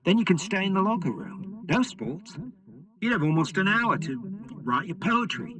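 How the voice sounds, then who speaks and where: A man's voice: sits high, big pitch swings, normal speed, a crowd in the background. An actor playing a friendly, encouraging schoolboy in an audio drama, casual and eager with his best friend. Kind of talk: cinematic audio drama acting.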